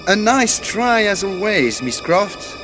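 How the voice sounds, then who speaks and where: A man voicing a pompous, excitable French villain in a video game, theatrical and condescending, with mock courtesy. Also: sits high, slow pace, music in the background, heavily acted. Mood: mock-courteous. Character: pompous, excitable French male villain, scheming treasure hunter. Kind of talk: video game cutscene voice acting.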